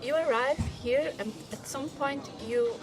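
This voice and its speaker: Woman from Switzerland, fairly high voice, halting speech, frequent restarts, busy crowd chatter behind. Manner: halting, many restarts, searches for words. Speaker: film director, guest. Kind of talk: casual interview conversation.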